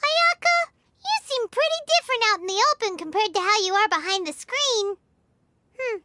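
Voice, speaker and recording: A tiny, chatty sprite companion from a video game, with a very high, bright voice that bounces up and down constantly. She is excitable and nosy, talking about herself in the third person. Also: moderate pace, slight background noise, heavily acted. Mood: chirpy. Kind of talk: video game cutscene dialogue.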